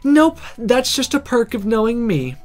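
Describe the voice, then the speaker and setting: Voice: mid-range voice, very animated, unhurried, soft background music, pitched above the performer's own voice. A game player voicing a brash, bossy teenage schoolgirl in a visual novel, with breezy, cheeky teasing.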